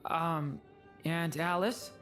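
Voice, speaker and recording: Low, hesitant, shy boy. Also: loud music behind the voice. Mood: shy, puzzled. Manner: hesitant, halting. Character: shy, hesitant young boy, soft and earnest. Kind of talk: video game character acting.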